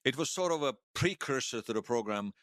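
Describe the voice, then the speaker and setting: A man acting the part of a wistful, wry old hero in his seventies, reminiscing haltingly in a radio drama interview. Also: medium pitch, animated, a bit bright, no room sound, clean studio sound, close to an everyday voice. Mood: nostalgic, wry. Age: 70s. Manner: halting, reflective, self-deprecating. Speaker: interview guest.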